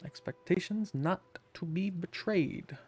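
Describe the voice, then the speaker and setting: A woman's voice: on the low side, a little slow, darkish tone, breathy edge. A woman giving casual, sarcastic and sweary commentary while streaming a game.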